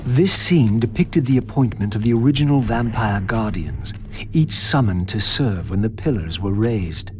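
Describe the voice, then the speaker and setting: A man's voice: lowish voice, animated, moderate pace, darkish tone, natural voice. An actor playing a brooding undead wraith, once a noble vampire, narrating his quest in grave, formal language for a game. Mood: solemn. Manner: formal, grave, literary phrasing. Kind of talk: scripted dramatic narration. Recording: music behind.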